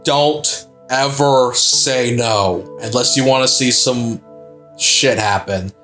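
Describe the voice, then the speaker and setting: A man's voice: medium pitch, slow pace, pushed and bright. A young man casually commenting on gameplay, laid-back and joking, with some swearing. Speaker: gameplay co-host. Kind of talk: casual gaming chat. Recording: game music underneath.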